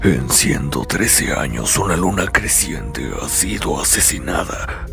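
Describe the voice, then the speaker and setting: A man's voice: deep voice, expressive, slow, vocal fry, over music, exaggerated voice. A man playing a cold, imperious demon lord in a Latin American Spanish anime fan dub, speaking with disdain. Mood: cold contempt.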